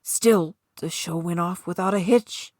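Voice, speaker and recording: Low-voiced woman reading a story, close and dry. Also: measured pace, a little mellow, no room sound. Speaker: author reading own short story. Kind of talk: scripted narration of a supernatural short story.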